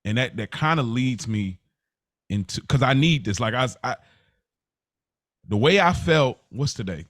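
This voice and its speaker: A man's voice: mid-range voice, expressive, unhurried, long pauses, fairly bright tone, dry recording. Earnest man chatting, close and dry. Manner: earnest, emphatic, colloquial, often says "bro". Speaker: podcast co-host. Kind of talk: casual reflective podcast talk.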